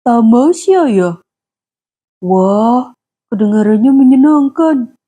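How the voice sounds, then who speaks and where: A woman voicing a gentle, patient father who explains things slowly to his young daughters in a children's story. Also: fairly high pitch, animated. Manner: slow, soft, explaining. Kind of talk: storybook dialogue.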